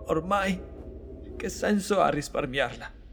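A man's voice: fairly high pitch, animated, slow pace, gravelly, fairly bright tone, a little hiss or hum, full character voice. A man playing a jittery forest innkeeper. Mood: bitter toast. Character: frightened weary male innkeeper of a forest inn. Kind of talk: Italian audio drama acting.

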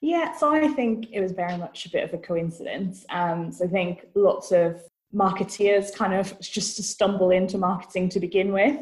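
A woman's voice: mid-range voice, moderate pace, a little mellow. A woman from Austria, a marketing manager guesting on a podcast, chatting in an upbeat way and thinking aloud as she talks. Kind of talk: casual interview chat.

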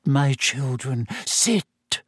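A man voicing a dreamy, eerie woman seer, speaking slowly and softly in a misty voice. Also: mid-range voice, lively intonation, breathy edge, no echo, studio quality, exaggerated voice. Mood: mystical. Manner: slow, soft, drawn-out delivery.

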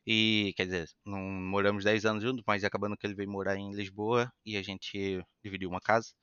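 A young Brazilian man with a light European Portuguese influence in his accent, a podcast guest telling his story in a lively, chatty way. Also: fairly low pitch, a little fast, clean tone, dry recording, muffled recording. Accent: Brazilian Portuguese with some European Portuguese influence. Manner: animated, chatty, acts out quoted voices. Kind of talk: casual storytelling interview.

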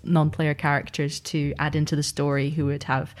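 Woman, low voice, podcast guest, casual, thoughtful explanations. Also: flat delivery, normal speed. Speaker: podcast guest, audio fiction producer and game master. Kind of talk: casual interview answers.

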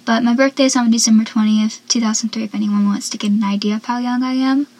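A seventeen-year-old girl with a soft, young-sounding voice, chatting casually as a podcast host and dropping into whispered asides. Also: fairly high pitch, fairly even intonation, fast talker. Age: teens. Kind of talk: casual solo podcast chat.

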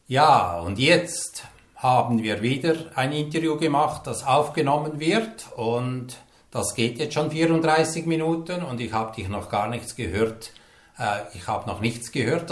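A man, hosting an interview and asking curious, probing follow-up questions. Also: mid-range voice. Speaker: interviewer, podcast host. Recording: roomy echoey sound.

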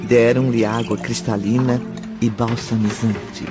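A man's voice: medium pitch, flat delivery, slow, lightly acted. A man with a Brazilian accent narrating a scripted radio drama in a formal, solemn storytelling style. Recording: dull lo-fi radio drama, music behind.

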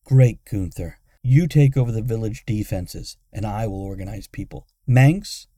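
A man's voice: on the low side, a little slow, mellow, no room sound, clean studio sound. A man voicing a confident young woman adventurer who speaks in a firm, commanding way. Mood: decisive.

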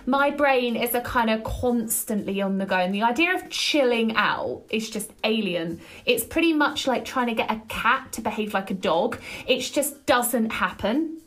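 A woman hosting her own podcast, talking alone in an energetic, chatty way with a loud voice and frequent ums. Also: fairly high pitch. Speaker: podcast host. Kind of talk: solo podcast monologue.

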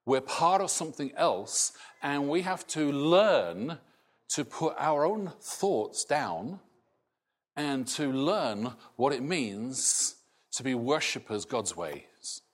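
Man preaching, softened Scottish accent, slow halting delivery, middle-aged, medium pitch. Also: lively intonation. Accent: Scottish, largely softened. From Scotland. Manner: slow, halting, with many restarts and fillers. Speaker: pastor. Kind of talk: sermon.

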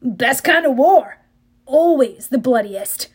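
A woman voicing a swaggering, menacing male war god in an audiobook, speaking loud and sneering. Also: sits high, lively intonation, slow, husky, full character voice. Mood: cocky.

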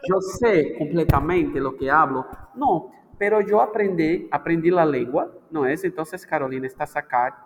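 A man's voice: fairly high pitch, normal speed. A man, a language teacher from Brazil, chatting casually and animatedly on a podcast, playfully acting out quoted voices. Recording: long reverb, faint background noise.

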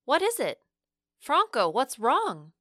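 Woman acting a blunt, emotional young woman, bright-voiced. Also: high voice, huge pitch swings, slow, no echo, clean studio sound, voice pushed up, full character voice. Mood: confused, hurt. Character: young woman, lovestruck, wounded, stubborn and sharp-tongued. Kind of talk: dramatic audiobook dialogue.

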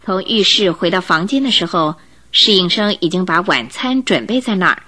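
A woman's voice: fairly low pitch, expressive, moderate pace, sharp tone. A woman narrator reading scripted storytelling narration for a Chinese radio drama.

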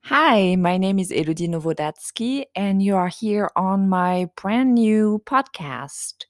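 A woman's voice: medium pitch, lively intonation, slow pace, no room sound, studio quality. A young woman from France with a French accent, hosting her own podcast in a chatty, self-deprecating way with plenty of fillers. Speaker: podcast host. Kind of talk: casual solo podcast.

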